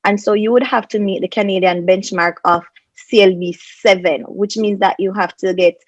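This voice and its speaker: A woman gives methodical, step-by-step advice as a panel consultant. Her voice sits at an average pitch and is recorded dry, through slightly muffled video-call audio. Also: fairly even intonation, moderate pace, no echo. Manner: methodical, step-by-step, emphatic enumerating. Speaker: immigration consultant, panel guest. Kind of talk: explanatory advice in an online panel. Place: small indoor room on a video call.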